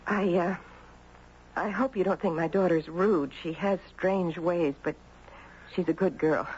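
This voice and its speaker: A woman's voice: on the low side, a little slow, a little mellow, lightly acted. An actress playing a gracious, enigmatic mother in a 1970s radio drama. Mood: confiding. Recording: muffled, faintly noisy old radio recording.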